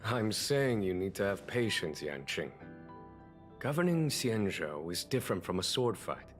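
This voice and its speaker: An actor playing a calm, weary general and wise mentor in a video game, speaking in a measured, formal, deliberate way. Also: male voice, lowish voice. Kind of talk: scripted video game voice acting.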